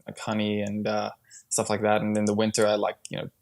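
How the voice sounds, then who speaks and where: A man from Sweden, raised partly in Brazil, speaks in a low voice with noticeable vocal fry. His pitch barely moves as he chats as an interview guest, often backing up and starting a phrase again. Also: completely flat delivery, a little fast, a little mellow. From born in Sweden, partly raised in Brazil. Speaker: podcast guest, startup founder. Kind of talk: casual conversational interview.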